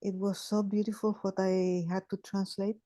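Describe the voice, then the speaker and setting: A woman, sharing in a group call in a halting, thoughtful way with many restarts. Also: mid-range voice, flat delivery, dark tone, no room sound. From Chile. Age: 80s. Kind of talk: casual sharing on a video call.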